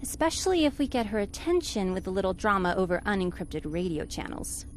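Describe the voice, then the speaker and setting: An actress playing a sensible, sardonic spaceship crew member with a dry, exasperated manner, in a 1950s-style space radio serial. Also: female voice, medium pitch, big pitch swings, fairly quick, soft background music, lightly acted. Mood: practical, urgent.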